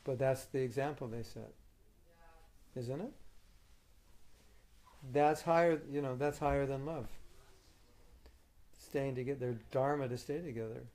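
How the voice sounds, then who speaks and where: A man's voice: mid-range voice, unhurried, long pauses, darkish tone. A man leading a question and answer session as a spiritual teacher, arguing his point firmly and patiently. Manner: firm, reasoning, argumentative. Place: meeting room.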